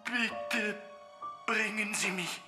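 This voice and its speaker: High, halting, submissive weakling. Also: male voice, music in the background. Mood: pleading. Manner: halting, stammering, pleading. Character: weak, submissive, pleading male patient in thrall. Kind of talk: German radio crime drama acting.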